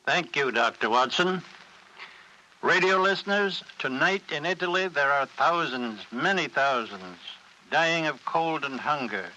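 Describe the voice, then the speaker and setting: Casting: male appeal speaker for 1940s radio, grave and deliberate, hard bright delivery, faint background hiss. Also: a little high, lively intonation, muffled recording. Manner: emphatic, clipped phrases, deliberate pauses. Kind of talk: solemn scripted radio appeal. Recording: hissy 1940s radio recording.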